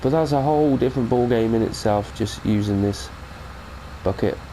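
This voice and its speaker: A man's voice: medium pitch, moderate pace, mellow, a little hiss or hum, low-quality recording. A British man with a cold, giving a chatty, rambling mod review of a farming game and correcting himself as he goes. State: has a cold. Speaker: gaming channel host. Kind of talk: casual mod review commentary.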